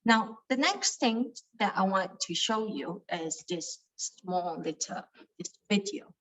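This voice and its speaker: Young Malaysian woman, Cantonese native, speaking accented English in a halting, filler-heavy personal share. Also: mid-range voice, animated, a bit bright, poor audio. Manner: hesitant, many fillers and repeated words. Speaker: study group member sharing experience. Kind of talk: personal sharing talk.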